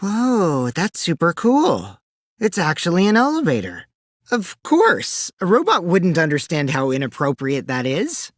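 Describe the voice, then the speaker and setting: A childish teenage schemer from a video game, a self-styled supreme leader of evil. The voice swoops dramatically between bratty teasing and sinister taunts, unhurried and recorded close and dry. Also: male voice, on the high side, wildly swooping pitch, no echo, full character voice. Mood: playful, teasing. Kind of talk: English video game voice acting.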